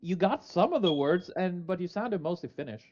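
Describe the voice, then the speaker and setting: A man in a casual podcast chat, teasing and animated, tripping over his words. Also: sits high, brisk, lo-fi sound.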